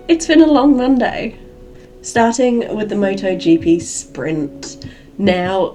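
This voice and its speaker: A woman hosting a solo podcast, chatty and rambling, her voice gravelly and croaky from a cold. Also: on the low side, darkish tone. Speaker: podcast host.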